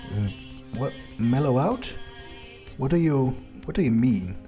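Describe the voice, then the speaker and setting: Man roleplaying a mellow, aloof vampire loner. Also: mid-range voice, animated, measured pace, soft background music, natural voice. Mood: nonchalant. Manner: casual, hesitant, nonchalant. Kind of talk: improvised in-character video game roleplay.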